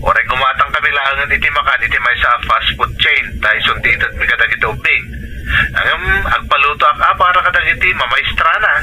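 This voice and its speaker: A man's voice: medium pitch, brisk, bright, forceful tone, slight background noise, poor audio. A man playing a needy older brother asking his sister for money, with a Filipino Ilocano accent, in audio drama dialogue. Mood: coaxing.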